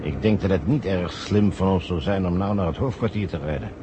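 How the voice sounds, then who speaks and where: An actor playing a sly, smug conspirator who calmly gives orders in a vintage radio play. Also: male voice, low voice, a little fast, background noise, natural voice. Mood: calm, calculating. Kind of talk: 1970 radio play acting.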